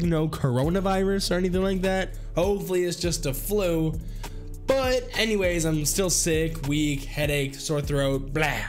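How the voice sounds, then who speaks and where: Stuffy, nasal-sounding sick young man. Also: on the high side. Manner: jokey, chatty, laughs at himself. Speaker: gaming YouTuber. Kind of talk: casual video commentary. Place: small home studio with foam panels. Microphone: desk podcast mic on arm.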